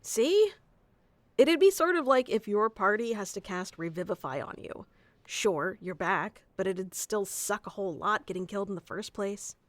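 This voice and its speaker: A woman's voice: medium pitch, lively intonation, normal speed, no room sound, lightly acted. A woman playing a bossy, geeky tsundere wizard teacher who explains things informally and smugly in an ASMR roleplay. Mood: proud, explanatory. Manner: informal, smug, flustered asides, explanatory. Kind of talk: scripted ASMR roleplay audio addressed to the listener.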